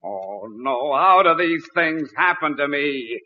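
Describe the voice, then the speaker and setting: A man's voice: fairly high pitch, lively intonation, takes it slowly. An American man acting a flustered, put-upon middle-aged father who sputters and blusters in a 1940s radio comedy. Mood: exasperated. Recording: muffled vintage 1940s radio broadcast.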